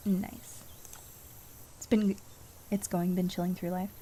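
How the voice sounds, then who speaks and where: A woman's voice: fairly low pitch, flat delivery, takes it slowly, noisy background. A woman chatting casually and thinking aloud, a bit distracted, as she streams a game. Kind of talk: casual chat while playing a game. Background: game music.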